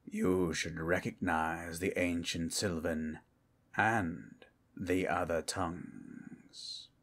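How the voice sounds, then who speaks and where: A man playing an ancient, calm and menacing undead collector with a formal, deliberate delivery in an audio roleplay. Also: sits low, exaggerated voice. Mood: measured, imperious.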